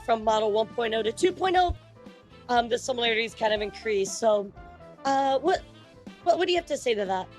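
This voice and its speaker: A woman interviewer with a fairly high voice who hesitates and stacks fillers as she asks her questions. Soft music plays faintly beneath her. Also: animated, brisk. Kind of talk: casual interview.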